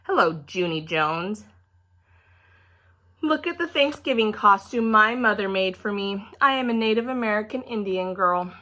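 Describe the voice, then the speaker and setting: Woman as a bright-voiced, smug, bossy schoolgirl. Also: medium pitch, expressive. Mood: boastful. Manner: taunting, show-off, drawn-out emphasis.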